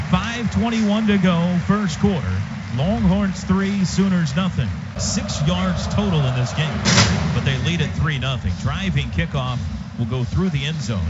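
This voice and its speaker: An American man calling live football play-by-play on the radio in clipped, punchy phrases. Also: on the high side. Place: stadium radio booth. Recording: dull, noisy live radio broadcast.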